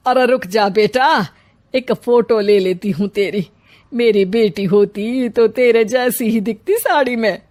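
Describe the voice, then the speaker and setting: Woman playing a slow-talking, proud older mother-in-law. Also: a little high, a little mellow, close to an everyday voice. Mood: conceding.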